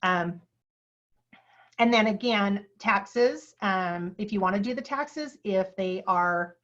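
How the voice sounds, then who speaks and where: A woman teaching a real estate class on listing paperwork, chatty and full of asides and fillers, with a dry throat she keeps clearing. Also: medium pitch, slow, fairly bright tone. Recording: dull lo-fi sound.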